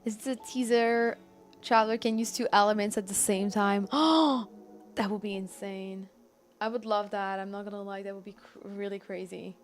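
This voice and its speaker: A woman's voice: mid-range voice, steady tone, normal speed, faint music behind. A woman game streamer chatting casually and commenting live on her play. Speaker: game livestreamer.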